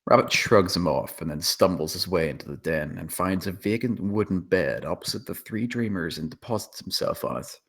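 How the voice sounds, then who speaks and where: Low-voiced man narrating a screenplay. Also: normal speed, hardly any pauses, a bit bright, breathy edge. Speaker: narrator reading stage directions. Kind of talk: dramatic scripted narration.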